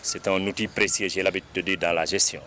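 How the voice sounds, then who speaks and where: A man's voice: low voice, flat delivery, fast, sharp tone, slight background noise. A man with a Senegalese French accent speaking emphatically, like an orator, in a press interview.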